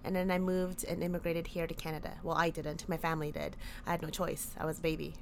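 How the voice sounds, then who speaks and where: A woman's voice: sits low, flat delivery, fast, slight background noise. Chatty woman laughing between answers. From Philippines. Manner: chatty, laughs often, with restarts. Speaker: podcast guest. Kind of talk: casual interview answers.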